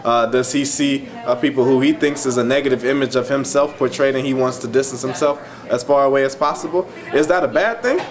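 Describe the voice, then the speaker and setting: A man's voice: medium pitch, brisk, few pauses. An American man presenting spoken commentary, musing through rhetorical questions in a reflective way. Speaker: presenter discussing a play. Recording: busy crowd chatter behind.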